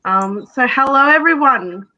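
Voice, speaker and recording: Bright-voiced woman hosting a live chat. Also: sits high, animated, unhurried, muffled recording. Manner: chatty, leads with questions. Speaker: host. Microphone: video call.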